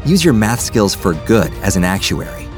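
A man reading a scripted commercial in a measured, earnest way. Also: fairly low pitch, sing-song, faint music behind.

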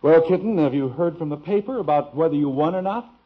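An American actor playing an excitable, proud middle-aged father in a 1950s radio sitcom, with a blustery, animated manner. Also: on the high side, fast. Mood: fond, proud. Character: excitable, proud suburban father, easily indignant. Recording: dull, husky-sounding old radio recording.

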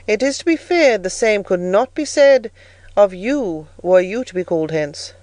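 A woman voicing a solemn, stern male clergyman who speaks slowly and preachily in an audiobook story. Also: expressive, a little mellow, no room sound, lightly acted. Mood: grave, reproving. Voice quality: bass. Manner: solemn, slow, sermonizing. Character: solemn, stern, harsh elderly clergyman interrogating a child.

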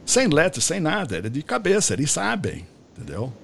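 A man's voice: fairly high pitch, huge pitch swings, brisk, vocal fry, fairly bright tone. Older man hosting, rambling chat. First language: English. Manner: rambling, checks in with "tá?". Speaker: podcast host. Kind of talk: casual interview chat.

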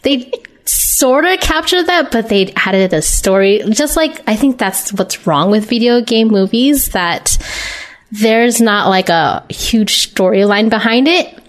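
A woman with a medium-pitched voice speaks as a podcast co-host. Her delivery is informal and conversational, like unscripted talk with a friend. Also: lively intonation, slow pace. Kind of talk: casual chat about games and films.

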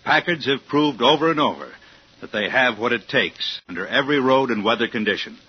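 Medium-pitched vintage radio pitchman. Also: animated, fairly quick, breathy edge. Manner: folksy, emphatic salesmanship. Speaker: commercial pitchman. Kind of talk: scripted 1950s radio advertisement. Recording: muffled old radio, faint noise.

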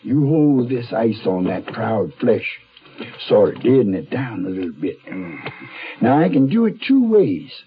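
An actor playing a folksy, drawling, cheerful rough man doctoring a wound in a 1970s radio drama. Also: lowish voice, sing-song, measured pace. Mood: folksy, unhurried. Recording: dull lo-fi 1970s radio recording.

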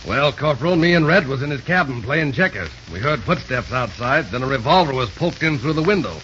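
A man's voice: medium pitch, animated, brisk, pushed and bright. An actor playing a sly, folksy backwoods man in a 1930s radio drama, with rustic, plain-spoken speech. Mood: earnest. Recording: dull, faintly noisy 1930s radio recording.

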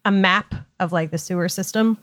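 A woman chatting casually as a player in a tabletop game, playful and expressive. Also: fairly low pitch, normal speed, no room sound, clean studio sound.

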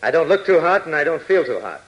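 Grave, haunted army major. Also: male voice, on the high side, very animated, fast talker, lo-fi sound. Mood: grave, desperate. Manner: grave, intense, dramatic. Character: strained middle-aged male army major, haunted, desperate. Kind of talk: 1940s radio adventure acting.

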